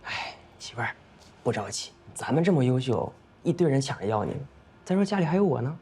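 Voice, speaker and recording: Young husband, coaxing, colloquial, lively pitch, screen drama. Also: male voice, a little fast, a little hiss or hum. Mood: eager, reassuring. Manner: coaxing, repetitive pleading, colloquial. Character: optimistic, coaxing young husband, apologetic to his wife. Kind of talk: naturalistic screen drama acting.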